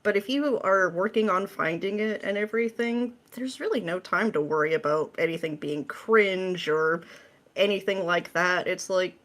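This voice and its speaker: A woman's voice: on the low side, a bit bright. A woman speaking as an interview guest, explaining things in a casual, playful, slangy way. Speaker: podcast guest, script writer.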